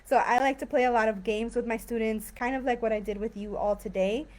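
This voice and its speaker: A woman's voice: a little high, a little fast. A young woman from California teaching English, talking casually on a live stream with many fillers. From San Diego and Tijuana. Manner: chatty, relaxed, many fillers.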